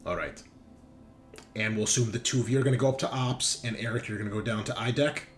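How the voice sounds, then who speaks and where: A man's voice: on the low side, fast talker, soft background music. A man running a tabletop roleplaying game as game master in a casual, conversational style.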